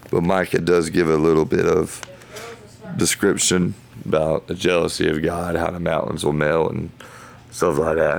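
A man hosting a casual Bible study podcast, thinking aloud in loose, halting phrases, his voice hoarse as if he were losing it. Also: rumbling low, slight background noise. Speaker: podcast host.

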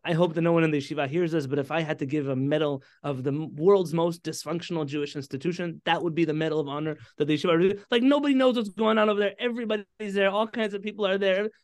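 A man in his thirties from San Diego, a rabbi, telling his story in an animated way with Hebrew terms and frequent restarts. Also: a little high, quick pace, few pauses, dry recording, clean studio sound. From San Diego, California. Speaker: podcast guest, rabbi. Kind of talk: conversational interview storytelling.

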